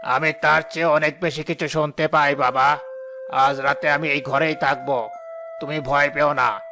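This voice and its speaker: Horror cartoon exorcist: male actor as stern, authoritative fakir, gravelly voice over a music bed. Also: fairly high pitch, fairly even intonation, a little slow. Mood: grave reassurance. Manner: grave, authoritative, reassuring to the frightened.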